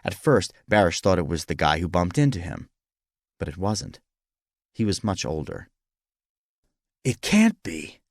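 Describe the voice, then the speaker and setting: Male narrator for fiction audiobook: fairly deep, expressive and dramatic, recorded dry. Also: moderate pace, no echo. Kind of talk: scripted story narration.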